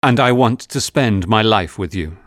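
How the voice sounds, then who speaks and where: A man playing a low, reserved English gentleman. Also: animated, moderate pace, no echo, studio quality, lightly acted. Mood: sincere, loving. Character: reserved, courteous English gentleman, grave and sincere. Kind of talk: dramatised audiobook dialogue.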